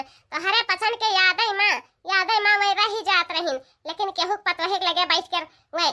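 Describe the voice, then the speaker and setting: A woman's voice: extremely high pitch, pushed and bright, heavily acted. A woman voicing an aggrieved, chatty village woman in a comedy cartoon, complaining with an Awadhi accent. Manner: complaining, rambling, rhetorical questions.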